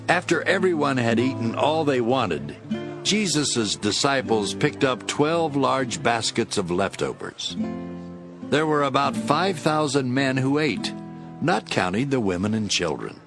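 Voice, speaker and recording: A man narrating scripture as a scripted, dramatic story in a measured storytelling manner. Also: medium pitch. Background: instrumental music.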